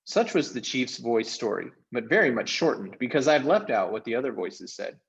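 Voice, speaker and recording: A man narrating a children's book aloud as an expressive storyteller. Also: medium pitch, normal speed, vocal fry, highs cut off.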